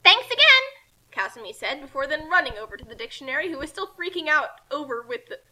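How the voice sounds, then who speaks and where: A woman reading a story aloud as narrator, in an animated, informal style with casual asides. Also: very high-pitched, poor audio. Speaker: narrator reading a fan fiction.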